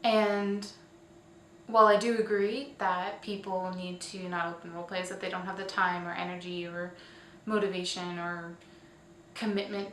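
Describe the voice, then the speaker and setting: Woman, casual show host, thinking-aloud delivery, echoey room, faint background noise. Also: medium pitch. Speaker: host of a weekly online show. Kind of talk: casual scripted-outline vlog commentary. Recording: roomy, distant mic, faint noise.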